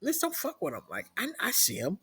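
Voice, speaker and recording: A man's voice: high voice, huge pitch swings, fairly quick, pushed and bright, slightly rough. A man co-hosting a casual podcast, rambling through stories and opinions. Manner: rambling, emphatic storytelling. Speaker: podcast co-host. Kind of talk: casual crude banter.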